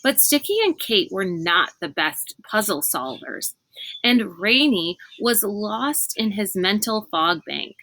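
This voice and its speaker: A woman reading a children's book aloud as an expressive narrator. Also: medium pitch, slow, no echo, low-quality recording. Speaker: read-aloud reader.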